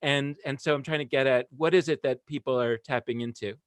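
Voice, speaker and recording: A man's voice: medium pitch, fairly even intonation, brisk, clean tone, dry recording. A man hosting a podcast interview, asking long, winding questions. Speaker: podcast host. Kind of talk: conversational interview.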